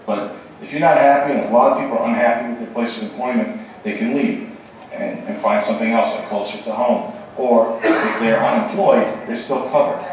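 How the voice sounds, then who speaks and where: A man's voice: medium pitch, a little fast. A man running for state assembly answers questions at a candidate forum in an earnest, emphatic way, sometimes restarting his sentences. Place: campus event hall. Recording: very echoey hall from far off.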